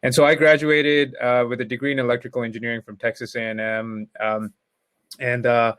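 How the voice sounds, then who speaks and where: Male panelist from Pakistan, easygoing storyteller, medium pitch, dead-dry sound. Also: fairly quick, clear, resonant tone, no room sound. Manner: relaxed, reflective, uses "you know" often. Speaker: panelist, entrepreneur. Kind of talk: conversational storytelling.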